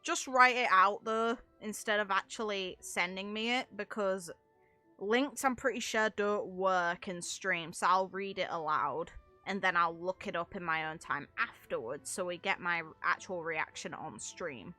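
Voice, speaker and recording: A woman's voice: medium pitch, measured pace, bright, forceful tone, faint music behind. A woman game streamer giving casual, rambling livestream commentary, explaining things at length with casual swearing.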